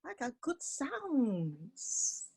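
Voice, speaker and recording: Woman voicing a high, drawling, cool snake. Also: wildly swooping pitch, drawn-out words, gravelly, a little mellow, slightly rough, exaggerated voice. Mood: touched wonder. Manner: drawling, cool, full of wonder. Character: laid-back, easily delighted male snake eager for sounds.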